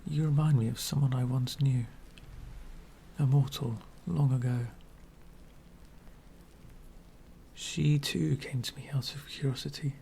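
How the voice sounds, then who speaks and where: A man playing an elegant, centuries-old vampire gentleman, speaking softly and half whispering in a refined, wistful ASMR roleplay. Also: mid-range voice, steady tone, slight background noise, lightly acted. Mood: lonely, nostalgic. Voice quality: soft, breathy whispering. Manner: refined, wistful, slow and deliberate.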